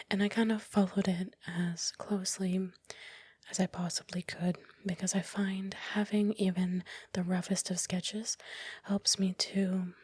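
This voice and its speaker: A woman whispering softly and breathily close to the microphone, narrating casually and unhurriedly in an ASMR style with some hesitant phrasing. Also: medium pitch, breathy edge, no echo. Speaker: ASMR creator narrating her time-lapse. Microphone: close microphone, dry voice-over.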